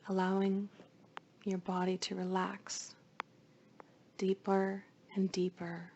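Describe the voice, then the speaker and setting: A woman leading a guided meditation in a soothing, hushed voice, with phrases often trailing into breath. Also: medium pitch, monotone, takes it slowly, lo-fi sound, a little hiss or hum.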